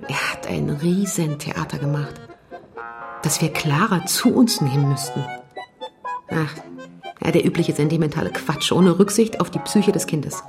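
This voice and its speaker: A woman's voice: low-pitched, a little slow, creaky. An actress playing a curt, unsentimental divorced mother in a radio drama, dismissive and guarded as she answers police questions. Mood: cool, dismissive. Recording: music under the voice.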